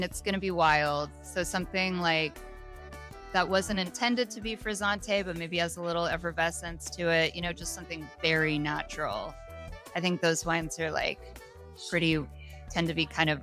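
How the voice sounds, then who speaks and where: A woman with a bright voice pitched a little low speaks as an interview guest. She explains casually and easily, thinking out loud. There is faint music behind her. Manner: easygoing, thinking aloud. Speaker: guest, wine bar owner.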